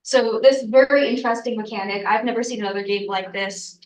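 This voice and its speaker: A woman's voice: a little high, a little fast, echoey room, recorded from a distance, poor audio. A young adult woman, congested from a cold, giving an earnest student research presentation with frequent ums and uhs. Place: lecture room.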